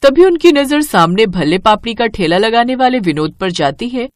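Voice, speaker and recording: A woman's voice: on the high side, animated, normal speed, no room sound. A woman narrating a scripted moral story for children as the storyteller.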